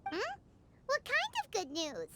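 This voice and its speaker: A woman voicing a tiny, chirpy, childlike fairy companion in a video game, squeaky, excitable and nosy, exclaiming and speaking of herself by name. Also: a little slow, slight background noise, full character voice. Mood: surprised, nosy.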